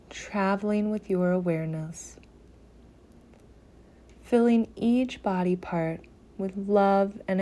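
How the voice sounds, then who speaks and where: A woman guiding a meditation in a soothing, gentle manner, softly breathy at phrase ends, with long pauses between short phrases. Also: mid-range voice. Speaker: yoga nidra meditation guide. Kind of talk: slow guided meditation.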